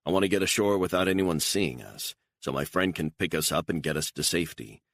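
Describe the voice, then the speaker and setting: A cool, controlled assassin who keeps every line short and firm, as a man plays him. His voice sits extremely deep and level. The sound is close and dry. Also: animated, fairly quick, a bit bright, no room sound. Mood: steady. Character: calm, hard male assassin, controlled.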